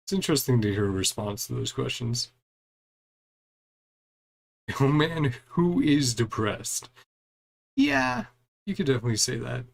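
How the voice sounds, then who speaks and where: A man's voice: medium pitch, sing-song, unhurried, gravelly, pauses often. A man giving casual commentary on a game as he plays, musing aloud and reading bits of dialogue. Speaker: gaming streamer.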